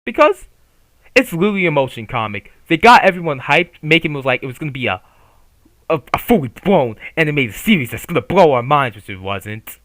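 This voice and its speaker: Man, casual swearing commentary, rambling restarts, slightly high, bright. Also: a little fast, dry recording. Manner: swears freely, rambling with restarts and animated emphasis. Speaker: YouTuber reviewing a manga.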